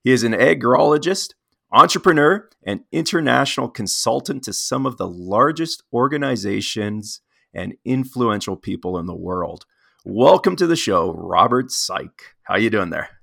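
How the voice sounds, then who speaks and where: A man hosting a podcast interview, lively in his intro, then relaxed and halting with many fillers. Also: medium pitch, vocal fry, no echo, clean studio sound. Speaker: podcast host.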